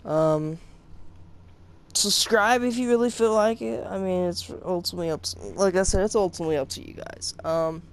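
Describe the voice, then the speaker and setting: A man's voice: high voice, moderate pace, smooth. A young man giving casual, off-the-cuff gaming commentary to viewers in a hesitant, rambling, informal way. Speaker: gaming video host.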